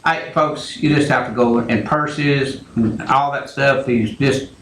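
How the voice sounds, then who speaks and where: A man hosting a call-in radio show, talking in a slow, halting, rambling way. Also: mid-range voice, fairly even intonation, a bit bright, roomy sound, slight background noise, low-quality recording. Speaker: radio talk show host.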